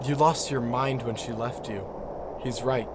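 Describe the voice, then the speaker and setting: A man playing a lonely, guilt-ridden, desperate figure in an audio drama, halting and repeating himself as he pleads. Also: medium pitch, fairly even intonation, close to an everyday voice. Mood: defensive. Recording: clear background noise and ambience.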